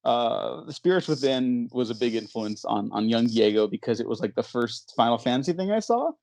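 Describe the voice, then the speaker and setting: A man hosts a casual podcast discussion about films. His voice sits at an average pitch. The sound is dry and close, with very little room in it. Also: lively intonation, a little fast, creaky, a little mellow, no room sound. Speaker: podcast host.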